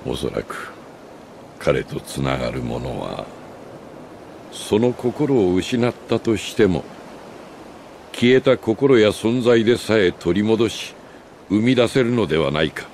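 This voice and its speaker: An older man acting a solemn, remorseful old sage in a Japanese video game cutscene, speaking gravely and with deliberate pauses. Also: on the low side, expressive, darkish tone, a little hiss or hum, heavily acted. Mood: solemn, earnest. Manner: grave, measured, philosophical delivery with deliberate pauses.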